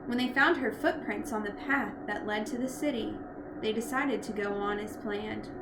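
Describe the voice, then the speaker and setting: A woman reading a storybook aloud in an expressive narrating style. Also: mid-range voice, normal speed. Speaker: storybook reader. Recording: echoey room with loud background noise.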